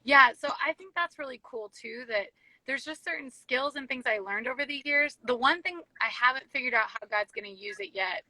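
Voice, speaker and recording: Upbeat chatty woman, bright voice. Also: sits high, animated, a little fast, dry recording, rough recording. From small-town United States. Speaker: youth ministry director, guest. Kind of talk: casual interview chat. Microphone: phone or video call from a car.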